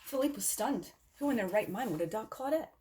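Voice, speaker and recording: A woman reading a picture book aloud to children in an expressive storytelling style. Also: a little high, a little fast, a little hiss or hum. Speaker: storybook reader.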